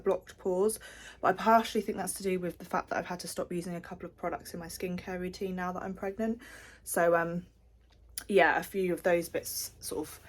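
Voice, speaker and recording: A pregnant young woman, rambling casually with lots of fillers as she talks to the camera at home. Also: lowish voice. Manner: chatty, rambling with many fillers. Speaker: vlogger. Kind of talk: casual diary vlog.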